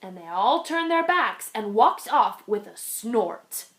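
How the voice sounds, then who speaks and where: A woman reading a rhyming children's storybook aloud in an expressive style. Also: fairly high pitch, slow pace. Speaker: storybook reader.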